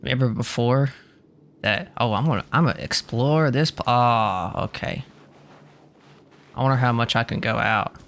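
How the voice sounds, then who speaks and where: A man's voice: mid-range voice, normal speed, long pauses, fairly bright tone. A man giving casual, joking gameplay commentary in informal, bro-ish slang. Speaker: game streamer.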